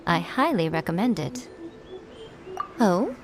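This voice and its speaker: A woman's voice: on the low side, sing-song, slow pace, crowd noise, exaggerated voice. A woman playing a poised, teasing shrine priestess in a video game, sly and unruffled in her manner. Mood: playful.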